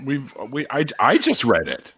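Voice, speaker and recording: A man's voice: mid-range voice, wildly swooping pitch, measured pace, bright, forceful tone, breathy edge. A Canadian man hosting a casual livestream chat over a video call, joking and laughing often. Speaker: host.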